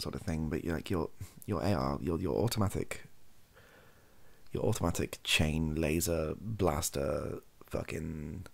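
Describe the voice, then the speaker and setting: A British man around thirty giving improvised, softly spoken comedic commentary in a rambling, dryly humorous way, often interrupting himself. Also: a bass voice, vocal fry, husky. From Wales, UK. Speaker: comedy ASMR video creator. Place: small cluttered bedroom or home office, indoors.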